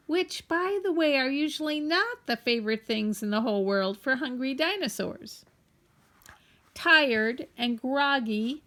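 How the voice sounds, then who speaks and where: A woman reading a children's story aloud in an expressive, playfully wry and deliberate way. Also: high voice. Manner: playfully wry, deliberate, addresses young listeners. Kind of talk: animated storybook read-aloud.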